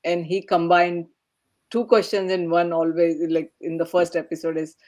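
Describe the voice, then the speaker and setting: A woman hosts a panel discussion as its moderator. Her voice sits slightly low for a woman. She moves from guest to guest with encouraging, affirming remarks. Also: level tone, moderate pace, a little mellow. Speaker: podcast host.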